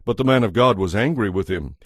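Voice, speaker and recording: Low-voiced man narrating scripture. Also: animated, brisk, breathy edge, no echo, clean studio sound. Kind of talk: scripted audio Bible narration.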